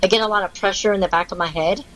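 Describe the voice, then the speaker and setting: A woman's voice: medium pitch, fairly even intonation, very fast, a bit bright, a little hiss or hum, poor audio. A woman in casual conversation, explaining at length with many restarts while recovering from losing her voice. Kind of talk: casual chat.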